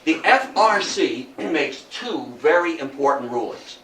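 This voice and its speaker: An older man giving a public history lecture in a theatrical, emphatic storytelling manner, with dramatic pauses and rhetorical questions. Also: on the high side, lively intonation. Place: indoor talk room before an audience. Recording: roomy, distant mic, faint noise.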